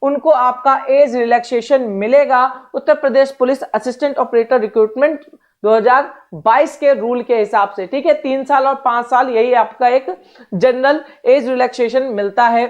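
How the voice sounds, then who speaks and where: The recording is a little dull and lo-fi. A woman speaks in a clearly high-pitched voice. She teaches in a direct, explanatory style, addressing students as an exam coach. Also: steady tone, moderate pace. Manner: direct, instructional, repeats check phrases. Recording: dull, lo-fi sound.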